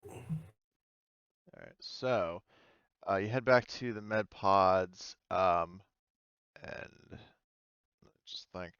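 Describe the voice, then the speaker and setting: A man's voice: sits low, drawn-out words, long pauses, a bit bright, smooth, dry recording, lo-fi sound. A man running a tabletop game as game master, talking in a tentative, conversational way. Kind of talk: casual tabletop game narration.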